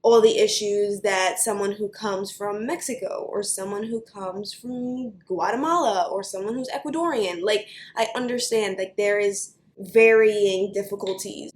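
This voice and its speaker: A young woman with a New York accent, ranting to camera for her vlog in an animated, chatty way with plenty of "like". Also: mid-range voice, echoey room, slight background noise. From United States. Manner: animated, fast, chatty with many likes. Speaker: beauty vlogger.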